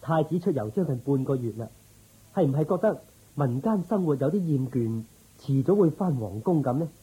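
A man's voice: mid-range voice, lively intonation, moderate pace, mellow, breathy edge, low-quality recording. A man playing a loyal, reverent royal minister in a Cantonese radio drama, formal and deferential in his speech. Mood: respectful.

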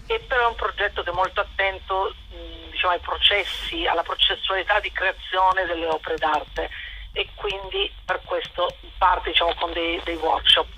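A woman's voice: fairly low pitch, lively intonation, moderate pace, pushed and bright, slight background noise. A woman explaining informally as a radio interview guest, hesitant and leaning on filler words. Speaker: interview guest, arts project organiser. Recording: dull phone line on radio.

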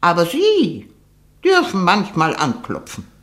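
A woman playing a sharp-tongued, haughty old aunt, frail but proud, in a 1960 German radio play. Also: fairly low pitch, wildly swooping pitch, exaggerated voice. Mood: mocking, proud. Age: older. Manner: haughty, mocking, deliberate.

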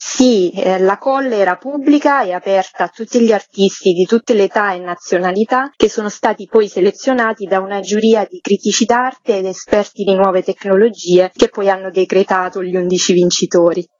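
A woman's voice: medium pitch, fairly even intonation, normal speed, hardly any pauses, a little mellow, dry recording, muffled recording. A woman giving an informative radio interview as a curator, explaining things in formal, articulate phrases.

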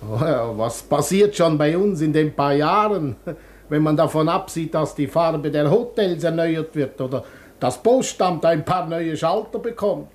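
An actor in a German radio play playing a chatty, flattering hairdresser from Lyon, effusive and obsequious. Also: male voice, a little high, a little slow.

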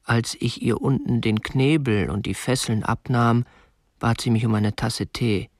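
An actor playing a meek, obsessive young kidnapper who narrates a radio play in a restrained, earnest, stiffly polite way. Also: male voice, mid-range voice, steady tone, a little slow, darkish tone, no echo, clean studio sound. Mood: stiff, confessional. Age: young adult. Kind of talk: radio drama narration and dialogue.

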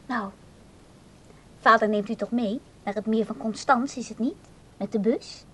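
A high-pitched, timid woman, speaks haltingly and apologetically. She sounds unworldly and unsure of herself. The radio play recording carries faint noise. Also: steady tone, a bit bright. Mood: shy, hopeful. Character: timid, hesitant unworldly woman asking to come along. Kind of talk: radio drama acting.

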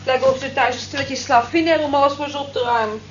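A high-pitched, working-class night cleaner played by a woman, musing and observant in a radio drama. She chats in a wistful, reflective way. The recording is muffled and roomy, with some hiss. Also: racing, natural voice. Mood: chatty, musing. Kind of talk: radio play acting.

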